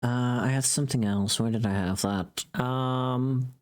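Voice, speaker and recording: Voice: mid-range voice, fairly even intonation, measured pace. A livestreamer chatting casually with viewers in a playful, teasing, rambling way. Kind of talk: casual chat with live viewers.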